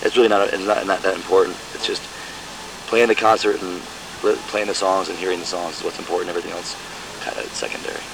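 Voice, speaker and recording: A man from Michigan, a musician, answering interview questions in a reflective, halting way with many restarts. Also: deep voice, steady tone, creaky, background noise.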